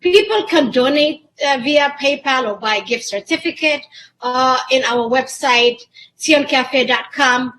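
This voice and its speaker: Woman, very high voice, Ethiopian-born and raised in Israel; restaurant-owner guest in an interview. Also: a little slow, a bit bright, poor audio. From born in Ethiopia, grew up in Israel. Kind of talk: interview answers.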